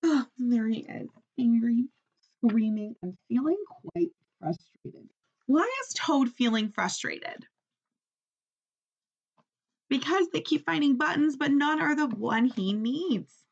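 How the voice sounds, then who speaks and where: A woman teacher reading a children's story aloud in an expressive, instructional way, often stopping to ask questions. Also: a little high, slow, creaky, slightly rough, dull audio.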